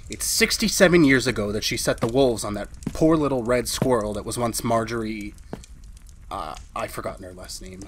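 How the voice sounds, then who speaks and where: Actor as nervous henpecked husband, noisy recording. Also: male voice, mid-range voice, sharp tone. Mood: wary confiding. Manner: nervous, hesitant, aggrieved. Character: henpecked, fretful, very long-lived husband of a witch. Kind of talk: retro 1940s radio drama, confiding first-person narration.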